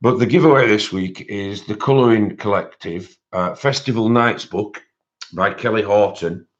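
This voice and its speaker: A man with a British accent and a croaky voice, hosting a casual livestream and chatting with viewers, rambling with many fillers. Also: on the low side. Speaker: livestream host. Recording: dull lo-fi stream audio.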